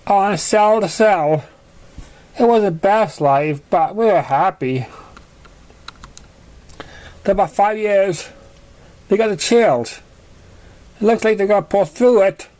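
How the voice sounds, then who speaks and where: A man voicing a young orphaned pony girl, wounded but plucky, telling her story haltingly in an amateur fan fiction reading. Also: high voice, a little mellow. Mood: wistful, then recounting, subdued.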